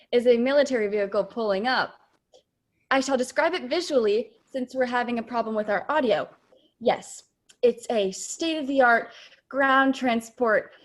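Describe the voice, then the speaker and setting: An earnest on-scene news reporter acted by a young woman announces in a slightly high voice. The delivery is formal and dramatic, in the style of a comic radio play. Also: a bit bright. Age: late teens. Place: home room, on a video call.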